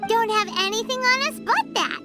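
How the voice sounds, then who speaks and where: A woman voicing a small, childlike fairy companion in an anime game, squeaky and perky, full of exclamations. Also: moderate pace. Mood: curious. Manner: exclamatory, refers to self in third person. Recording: loud game music underneath.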